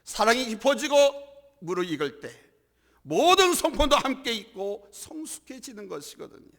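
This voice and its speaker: Hoarse, gravelly male pastor preaching. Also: very high voice, sing-song, normal speed, pushed and bright. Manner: emphatic preaching with swells in volume and calls to the congregation. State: hoarse from a cold. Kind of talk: sermon. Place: church worship hall. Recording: church hall reverb.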